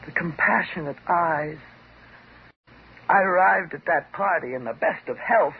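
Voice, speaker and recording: A woman's voice: on the low side, a little slow. Muffled noisy old radio, bitter divorced woman, sarcastic, dramatic, wounded. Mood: bitterly sarcastic. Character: bitter, wounded divorced housewife confronting her psychoanalyst. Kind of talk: 1950s radio drama acting.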